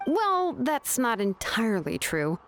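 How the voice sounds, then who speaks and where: A woman's voice: a little high, huge pitch swings, slow pace, fairly bright tone, a little hiss or hum, full character voice. A woman playing a young, earnest name engraver in a video game, explaining things in a frank, casual way. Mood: frank, weary. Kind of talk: animated game dialogue acting.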